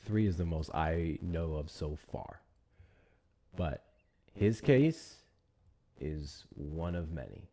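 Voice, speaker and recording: A man's voice: rumbling low, animated, slow pace, long pauses, warm tone. A man hosting a live stream, chatting loosely with viewers, thanking them for gifts and repeating himself as he talks. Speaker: livestream host. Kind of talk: casual live-stream commentary.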